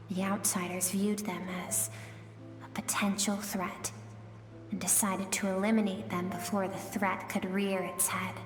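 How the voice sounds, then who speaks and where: An actress playing a gentle, wistful storyteller, narrating a video game tale in a measured, dramatic, hushed manner. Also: female voice, mid-range voice, close to an everyday voice. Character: gentle, wistful female storyteller, solemn and tender. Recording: long reverb, music behind.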